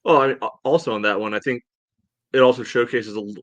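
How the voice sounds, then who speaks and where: A man chatting casually as a sports podcast host, breaking down play in a halting, rambling way full of verbal padding. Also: mid-range voice, fairly bright tone, no echo, muffled recording. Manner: halting, rambling delivery peppered with "you know". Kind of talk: casual sports analysis chat.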